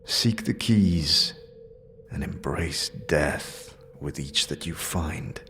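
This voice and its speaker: A man voicing an ominous, disembodied tomb voice that warns of death in a slow, solemn, menacing manner. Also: very deep voice, animated, fairly bright tone, a little hiss or hum, pitched below the performer's own voice, exaggerated voice. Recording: background music.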